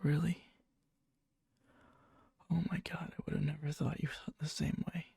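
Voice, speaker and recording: A man playing a needy yandere young man confessing love to a friend in a hushed, halting ASMR roleplay, often slipping into a whisper, breathy and gravelly. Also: on the high side, level tone, dry recording, clean studio sound, exaggerated voice. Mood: relieved, shy. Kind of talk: ASMR boyfriend roleplay, soft intimate storytelling. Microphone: close mic, dry.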